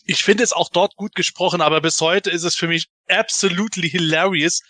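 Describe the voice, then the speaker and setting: A man's voice: high-pitched, fairly even intonation, a little fast, sharp tone, dry recording, clean studio sound. A man chatting casually as a podcast panelist, enthusiastic, rambling and anecdotal. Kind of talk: casual chat, fan commentary.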